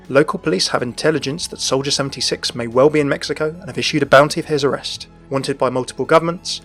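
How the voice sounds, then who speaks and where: A man's voice: mid-range voice, fairly bright tone. A man narrating a scripted video about the lore and backstory of a game character.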